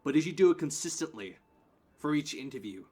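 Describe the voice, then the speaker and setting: A man's voice: on the high side, animated, a little fast, warm tone, slight background noise, natural voice. A man playing a blunt, firm grey-haired security guard and mentor in an audio play. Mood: questioning.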